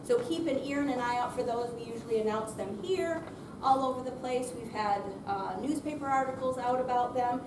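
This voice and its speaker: Woman, projecting, chatty live introduction, high voice, far from the mic in a noisy echoing hall. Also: fairly quick. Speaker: theater program presenter. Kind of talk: live audience introduction, off the cuff. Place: senior center room, unamplified, before an audience. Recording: distant mic, echoey room, noisy.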